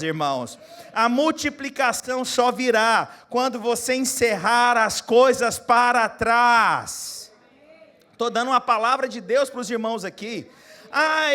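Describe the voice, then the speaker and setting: A Brazilian pastor in his forties preaching a sermon, moving from quiet, close asides to loud, rising exhortation and putting questions straight to his congregation. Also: extremely high pitch. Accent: Brazilian Portuguese. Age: 40s. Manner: talks straight to the congregation, asking them questions.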